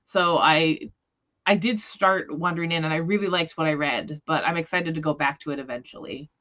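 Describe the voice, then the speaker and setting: A woman's voice: low voice, steady tone, brisk, a bit bright, muffled recording. A woman hosting a book discussion livestream in casual chat, talking in an informal, rambling, tentative way. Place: remote livestream call.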